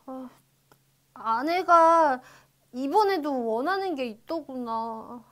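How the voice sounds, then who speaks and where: A woman's voice: high-pitched, lively intonation, slow pace, voice pushed down. A woman playing a meek, devoted fisherman husband who pleads, in a children's storybook. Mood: hesitant.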